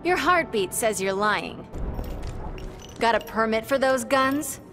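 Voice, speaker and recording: Young game superheroine, high animated voice, sassy, defiant, confident, music behind. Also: female voice, slow pace. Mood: confident, challenging. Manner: flippant, defiant. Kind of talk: video game character acting.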